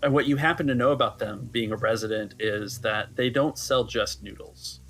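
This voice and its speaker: A man's voice: mid-range voice, moderate pace, fairly bright tone, faint music behind. A man acting as game master, casually narrating scenes and explaining rules for a tabletop roleplaying game.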